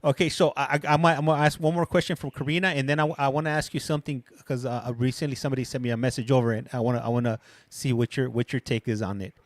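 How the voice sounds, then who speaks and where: A man's voice: medium pitch, fairly even intonation, racing, hardly any pauses, no echo. A man hosting a call-in show, easygoing and stumbling as he restarts his sentences. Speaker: show host.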